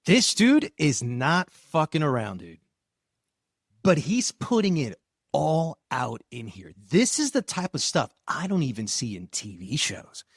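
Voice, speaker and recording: A man hosts a podcast interview in a casual, candid way. His pitch rises and falls a lot as he talks, and he swears freely. The sound is dry and close. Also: very animated, measured pace, no echo. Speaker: podcast host.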